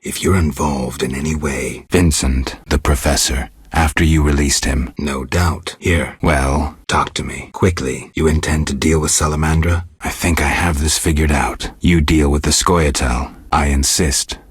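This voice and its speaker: A gruff, sardonic mutant monster hunter from a video game, speaking in an extremely low, heavily rasping voice. He is terse and deadpan, with dry cynicism, and the sound is close and very dry. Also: unhurried, hardly any pauses, no echo, heavily acted. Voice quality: gravelly, husky. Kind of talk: video game character dialogue.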